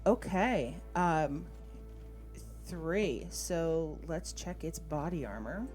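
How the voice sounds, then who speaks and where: Female game master, lowish voice, casual rules talk, thinking aloud over background music. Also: lively intonation, drawn-out words, darkish tone. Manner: thinks aloud while checking rules and rolls.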